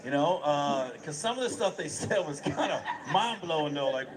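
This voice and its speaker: Man, stand-up comic, crude jokes, high lively voice, loud crowd behind. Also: normal speed, rough recording. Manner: animated, crude punchlines. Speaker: stand-up comedian. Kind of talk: stand-up comedy bit. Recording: live comedy club with loud crowd.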